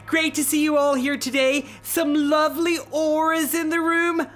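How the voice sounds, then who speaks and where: An actress playing an overzealous new-age rebirthing guru in a darkly comic radio drama, theatrical and preachy. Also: female voice, high voice, flat delivery, normal speed, soft background music, lightly acted. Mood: serene, gushing.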